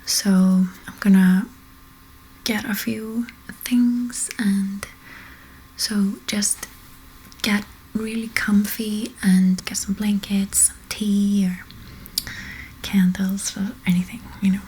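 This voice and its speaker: A woman speaking in a soft, breathy whisper as an ASMR host, gently and hesitantly guiding listeners to relax. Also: medium pitch, flat delivery, slow, long pauses, slight background noise, low-quality recording. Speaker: ASMR host, energy healer.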